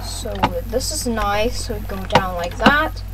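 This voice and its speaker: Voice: medium pitch, lively intonation, measured pace, very noisy. A gaming video host giving casual play-by-play, repeating phrases and talking to viewers. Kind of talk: casual gameplay commentary.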